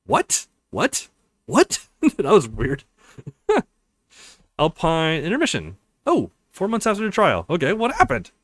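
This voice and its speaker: A man doing a let's play, reading a visual novel aloud in an expressive, playful way. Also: high-pitched, measured pace, dry recording, clean studio sound. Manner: expressive, voices characters, laughs often.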